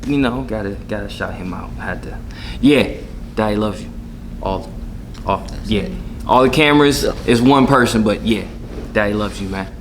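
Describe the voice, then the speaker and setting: A man co-hosting a casual interview, laid-back and quick to agree. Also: lowish voice, crowd noise. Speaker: co-host.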